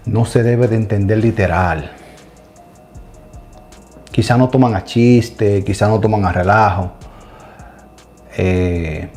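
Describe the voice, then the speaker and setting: A middle-aged man in a casual religious discussion with a dark, mellow voice. He speaks emphatically and turns to address the audience directly. Soft music plays faintly behind him. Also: on the low side. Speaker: co-host of a Bible study channel.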